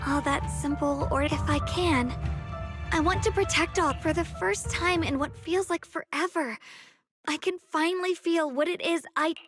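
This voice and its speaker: A woman's voice: high-pitched, normal speed, fairly bright tone, soft background music. A woman voicing an earnest, dutiful young sword wielder in a video game. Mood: wistful, tender. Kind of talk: video game story voice acting.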